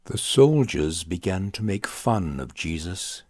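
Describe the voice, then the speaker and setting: Slow, deep-voiced male scripture narrator. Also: big pitch swings, darkish tone, no room sound, studio quality. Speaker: audiobook reader.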